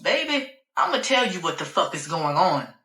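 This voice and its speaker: A woman's voice: on the low side, very animated, normal speed, a bit bright, echoey room. A woman voicing a volatile, furious woman who curses in explosive outbursts.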